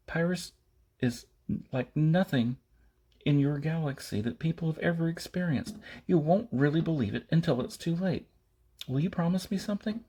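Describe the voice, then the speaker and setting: A man's voice: on the high side, dark tone, slightly rough, voice pushed up. A man voicing a guarded, strong-willed young spacewoman in an audiobook, speaking earnestly and tersely.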